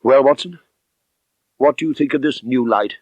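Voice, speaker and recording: A man voicing an inquisitive gentleman baronet in an audiobook, direct and questioning, puzzled yet eager. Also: mid-range voice, normal speed, dry recording, natural voice. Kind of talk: audiobook character dialogue.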